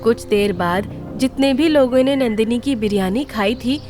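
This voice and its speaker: A woman's voice: fairly high pitch, moderate pace, darkish tone, soft background music. A woman reading scripted storytelling narration for a cartoon tale.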